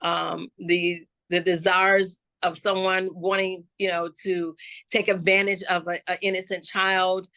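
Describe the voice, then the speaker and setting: A woman from Florida, a gospel hip-hop artist, telling her life story slowly and reflectively in an interview, with many restarts. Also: lowish voice, little pitch movement, sharp tone, dry recording. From Jacksonville, Florida. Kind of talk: personal life testimony in an interview.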